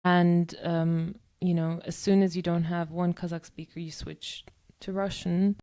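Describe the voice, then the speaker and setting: Woman, podcast co-host, low warm voice, gravelly fry, reflective, casual discussion. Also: little pitch movement, a little slow. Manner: thoughtful, talks in long reflective stretches with many "um" and "you know".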